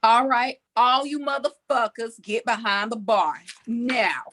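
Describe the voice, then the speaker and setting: A woman playing a brash, foul-mouthed, scheming tough criminal at a script table read, blunt and sassy, with a gravelly voice. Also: fairly high pitch, measured pace, fairly bright tone. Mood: defiant, threatening.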